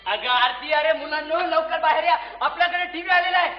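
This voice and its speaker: Excitable family father, played by a male actor, very high pitched, exclamatory comedy stage delivery in an echoey hall. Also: brisk, soft background music. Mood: excited. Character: excitable Marathi family man, father who won a television. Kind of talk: amateur comedy stage acting. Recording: echoey, muffled stage recording, faint noise.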